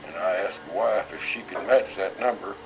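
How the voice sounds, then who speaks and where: An elderly man, a war veteran, recalling his service in a plain, halting, matter-of-fact way. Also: low-pitched, noisy background. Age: 80s or older. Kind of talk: oral history interview recollection.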